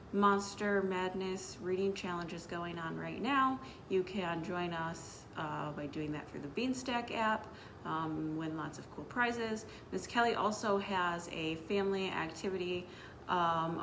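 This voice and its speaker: A woman's voice: mid-range voice, a bit bright, a little hiss or hum. A woman speaking as a children's librarian, hosting story time with announcements and read-aloud for young listeners.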